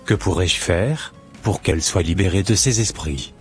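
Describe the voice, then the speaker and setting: Man as a deep-voiced, brooding wronged husband. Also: moderate pace, faint music behind, close to an everyday voice. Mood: serious. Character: betrayed, wounded but loving husband, stern and brooding.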